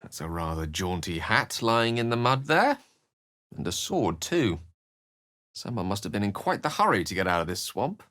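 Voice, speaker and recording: A learned wizard from a fantasy game, lowish dry sound, articulate, kinda theatrical and wry. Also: male voice, sing-song, moderate pace, fairly bright tone, no room sound. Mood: musing, wry. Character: learned, wry, eloquent male human wizard companion. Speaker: video game voice actor. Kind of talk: fantasy game character lines and narration.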